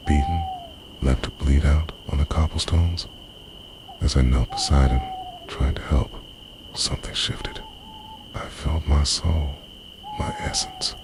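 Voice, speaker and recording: A man playing an obsessive, centuries-old lover in an intimate ASMR roleplay, half-whispering in a breathy, confessional way, tender and possessive. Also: a bass voice, full character voice. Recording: noisy, owls and insects behind.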